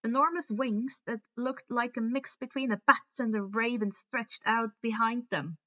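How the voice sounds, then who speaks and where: Bright, high-voiced woman reading a story. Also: normal speed, highs cut off. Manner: stumbles and rereads words. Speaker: fan fiction reader. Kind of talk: story narration with character dialogue.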